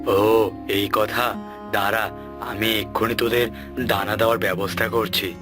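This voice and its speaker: A man's voice: lowish voice, level tone, a little slow, lightly acted. A man voicing a kindly, bemused village landlord in a children's cartoon, musing and indulgent. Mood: kindly, welcoming. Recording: music behind the voice.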